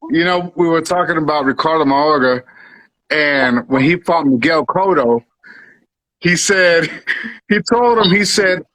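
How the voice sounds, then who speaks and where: A high-voiced man, from Spanish Harlem, chatting and telling stories with easy laughs. His voice sits close and dry on the mic, with no room around it. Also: measured pace, a bit bright, dry recording, poor audio. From Spanish Harlem, New York. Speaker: podcast co-host. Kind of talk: casual chat.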